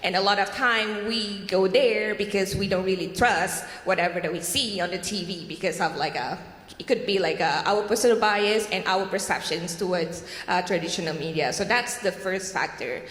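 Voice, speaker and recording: A woman from Malaysia speaks on a conference panel, pausing often and reaching for words. Her mid-pitched voice rings out through a large echoey hall with a long tail of reverb. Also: a bit bright. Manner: halting, frequent fillers and "what we call it". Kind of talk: panel presentation.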